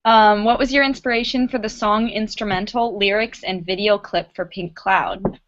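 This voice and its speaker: A woman's voice: mid-range voice, steady tone, normal speed, a bit bright, dull audio. A woman interviewing guests, reading out fan questions with short friendly replies. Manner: friendly, brief, says "cool" often.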